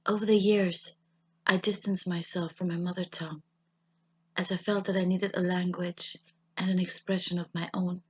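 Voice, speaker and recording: Husky German-accented woman, subdued and even. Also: on the low side. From German-speaking Europe. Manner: measured, solemn, deliberate phrasing with pauses. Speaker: writer reading her own essay. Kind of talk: scripted literary personal essay reading.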